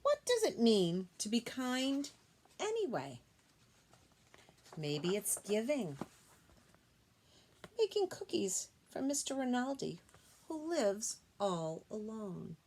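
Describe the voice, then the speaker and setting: A woman teacher reading a children's picture book aloud expressively, pausing to explain things gently and simply to young listeners. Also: fairly high pitch, huge pitch swings, a little hiss or hum.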